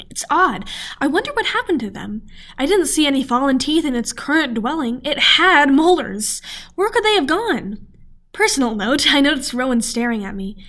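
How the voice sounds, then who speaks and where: A high, youthful female voice played by an actress for an audio drama. She is an eager student scientist, full of wonder. She rambles and interrupts herself as she dictates her log. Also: fairly bright tone. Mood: puzzled, then wistful, friendly. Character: eager young female doctoral student scientist, friendly, fascinated. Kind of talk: radio play audio log monologue.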